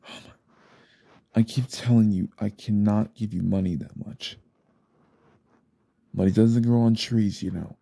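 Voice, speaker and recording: A stressed, short-tempered father, played by a man in an ASMR roleplay. His voice is gravelly and dark, recorded close on a dry mic. He swings between muttering under his breath and yelling. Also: lowish voice, no echo.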